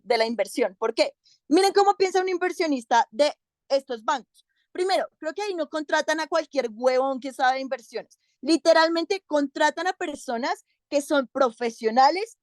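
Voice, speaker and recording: A woman's voice: high voice, no room sound. A young woman giving a competitive debate speech, emphatic and counting her points off one by one. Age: young adult.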